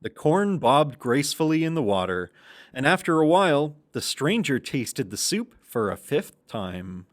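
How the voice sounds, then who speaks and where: A man's voice: fairly high pitch, very animated, unhurried. A man narrating a children's puppet show in a scripted storytelling style.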